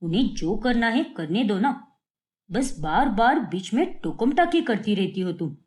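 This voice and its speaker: Woman voicing a chiding middle-aged father, lively. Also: mid-range voice, unhurried.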